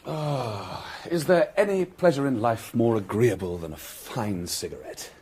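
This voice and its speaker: A man's voice: medium pitch, lively intonation, measured pace, a little mellow, husky. An actor playing a smug, exacting young reporter in a radio drama, pompously teasing his friend. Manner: languid, pompous.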